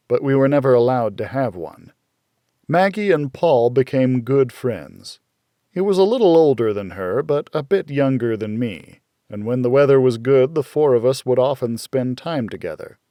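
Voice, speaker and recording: Slow, deliberate male audiobook narrator. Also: medium pitch, mellow, no room sound, clean studio sound. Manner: measured, deliberate, dramatic storytelling. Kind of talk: scripted first-person fiction narration.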